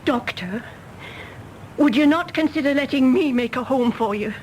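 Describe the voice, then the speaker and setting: A woman's voice: high-pitched, moderate pace, a little mellow, a little hiss or hum, close to an everyday voice. An actress playing a solemn, lonely governess in her thirties in a radio drama, earnest and intense. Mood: nervous, pleading. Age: 30s. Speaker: actor. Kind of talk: British radio drama acting.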